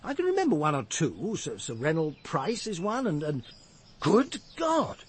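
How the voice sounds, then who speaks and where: Animated, fairly high-voiced country doctor. He is blustery and easily flustered, halting and excitable as a radio play character. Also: husky, full character voice. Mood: hesitant. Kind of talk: radio drama acting.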